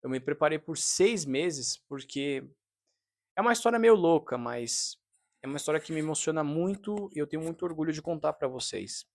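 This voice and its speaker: A man, talking earnestly to camera in a casual vlog and confiding in his viewers. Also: on the high side. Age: 30s. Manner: earnest, confiding, frequent "né?" tags. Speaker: vlogger, fitness trainer.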